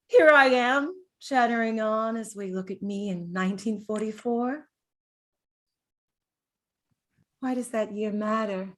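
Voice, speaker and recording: An actress playing a gentle, girlish, chatty dead woman of thirty-four in a confiding, nostalgic stage monologue. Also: a little high. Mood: giggly, self-mocking. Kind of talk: dramatic monologue, stage-style acting.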